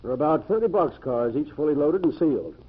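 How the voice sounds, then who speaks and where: A self-important male county sheriff, speaks stiffly and precisely in a slightly high voice. It has the thin, phone-like sound of 1940s radio drama. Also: animated, quick pace, husky. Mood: businesslike. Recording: thin, band-limited old radio sound.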